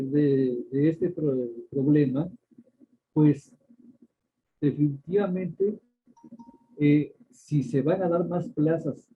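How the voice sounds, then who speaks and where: An older man with a Mexican accent, a doctor answering interview questions in a measured way, pausing often and restarting his sentences. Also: on the high side, slightly rough. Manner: measured, many hesitations and restarts. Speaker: ophthalmologist guest. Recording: tinny phone-like line.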